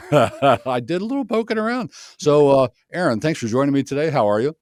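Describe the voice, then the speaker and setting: A man's voice: mid-range voice, big pitch swings, fairly quick, darkish tone, dry recording, studio quality. A man, a pastor hosting an interview show, introducing his guest in a friendly, chatty way with small jokes. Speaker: pastor and podcast host.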